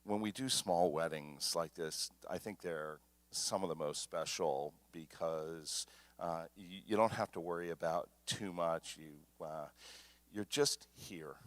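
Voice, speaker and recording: Deep-voiced male wedding officiant. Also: a bit bright, no echo. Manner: halting, informal, conversational. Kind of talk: informal wedding ceremony address. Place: small wedding ceremony at a temple, streamed. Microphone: microphone through a sound system.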